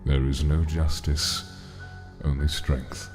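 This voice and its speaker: A man's voice: very deep voice, level tone, takes it slowly. An actor playing a grieving, embittered monster king in a comic dub, with a grave, commanding delivery. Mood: bitter, resolute. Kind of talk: dramatic comic dub acting. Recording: long reverb, clear music behind.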